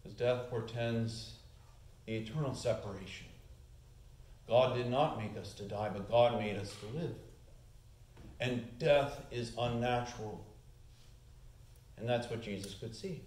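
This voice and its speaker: A man in his forties delivers an earnest sermon from a church lectern, his ordinary mid-range voice rising in pitch and volume as he presses his points. The sound comes from a camera set back in the sanctuary, so the room's echo surrounds every word. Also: measured pace, pauses often, a little mellow, a little hiss or hum. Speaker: pastor. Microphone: far camera. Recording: echoey church room.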